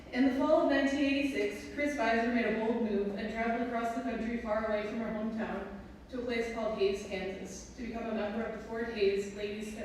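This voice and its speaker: A woman with a Wisconsin accent reading a prepared speech as a presenter at a ceremony. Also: a little high. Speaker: presenter introducing her mother. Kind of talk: prepared speech read aloud. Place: large echoing hall at a ceremony. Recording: echoey hall from far, faint noise.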